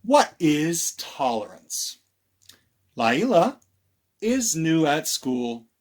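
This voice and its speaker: A man reads to children as a storytime librarian. His voice sits a little high and swings widely in pitch. He takes his time, with theatrical pauses and questions aimed at young listeners. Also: sing-song. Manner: theatrical, with dramatic pauses and hushes. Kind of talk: animated children's storytime read-aloud.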